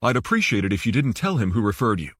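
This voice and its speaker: A man's voice: fairly low pitch, big pitch swings, fast talker, fairly bright tone, no echo, studio quality, pitched below the performer's own voice. A man voicing a guarded, formal male banker who is politely firm, in an audiobook thriller. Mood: courteous, discreet.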